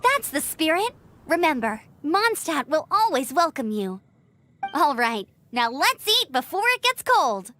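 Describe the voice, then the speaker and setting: An upbeat young female knight from a video game. She speaks very high with animated, encouraging friendliness. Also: unhurried, soft background music, exaggerated voice. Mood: cheerful, encouraging. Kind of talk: video game character acting.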